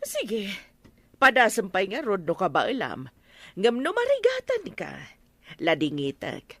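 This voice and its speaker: A woman playing a condescending, cautious lady of a big house in an Ilocano radio comedy drama, with drawn-out questioning and an Ilocano accent. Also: mid-range voice, huge pitch swings, a bit bright, no room sound, exaggerated voice.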